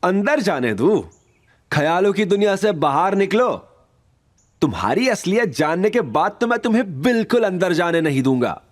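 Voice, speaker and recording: Man acting a scoffing, high-voiced security guard. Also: sing-song, moderate pace. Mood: scornful refusal. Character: dismissive, stubborn male security guard. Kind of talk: dramatized audio story acting. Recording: music behind.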